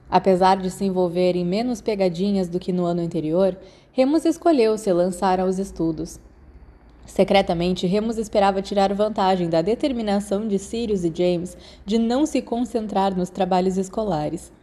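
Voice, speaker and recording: A woman's voice: mid-range voice, mellow. A woman with a Brazilian accent reading a scripted story as an audiobook narrator. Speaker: podfic narrator.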